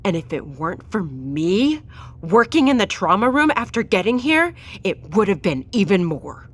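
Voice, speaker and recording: A woman's voice: medium pitch, big pitch swings, moderate pace. A woman playing a defiant, self-justifying doctor accused of treason in an audio drama, argumentative and articulate. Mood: defiant, heated.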